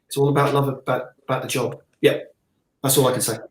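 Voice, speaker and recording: A man's voice: medium pitch, flat delivery, quick pace. A middle-aged man chatting casually as a guest, rambling and jokey, talking about his work as an illustrator. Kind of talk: casual chat. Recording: roomy, echoey sound.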